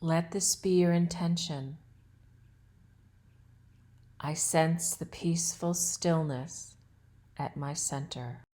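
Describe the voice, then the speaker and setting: Slow, low-voiced woman guiding meditation. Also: steady tone, warm tone. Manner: soothing, measured, deliberate phrasing. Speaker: yoga nidra instructor. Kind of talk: guided meditation and relaxation instruction.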